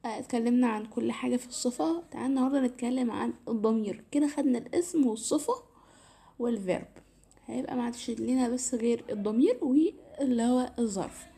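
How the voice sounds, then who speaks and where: A woman's voice: fairly high pitch, fast, creaky, dark tone, breathy edge. Tired-voiced Egyptian woman teaching grammar. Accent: Egyptian Arabic. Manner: explains step by step, repeats "تمام". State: tired, slightly strained voice. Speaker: English teacher. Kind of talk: casual Arabic grammar lesson.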